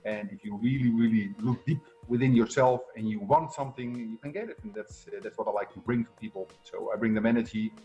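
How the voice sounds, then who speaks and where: A man's voice: fairly low pitch, quick pace, few pauses, warm tone, highs cut off, soft background music. A middle-aged man from the Netherlands with a Dutch accent, talking conversationally as an upbeat coach being interviewed. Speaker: guest, success coach and entrepreneur.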